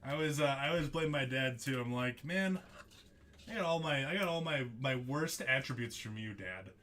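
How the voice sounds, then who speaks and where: A man's voice: mid-range voice, expressive, normal speed, sharp tone, slight background noise. A young man hosting a live trading-card break stream, chatting casually with viewers by name, laughing often as he reads out the cards he pulls.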